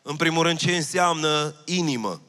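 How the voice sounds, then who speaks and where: A man in his thirties preaches with a bright, forceful voice. He speaks into a handheld mic, earnest and repeating his key phrases. A long reverb trails after him in a large hall. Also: a little high. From Romania. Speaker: pastor. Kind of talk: sermon. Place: church stage, large hall.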